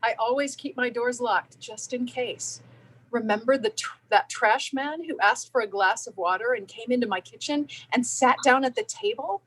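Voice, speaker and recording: A woman's voice: on the high side, normal speed, fairly bright tone, slightly rough, poor audio. A woman playing a watchful, nosy suburban housewife in a staged play reading. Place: video call.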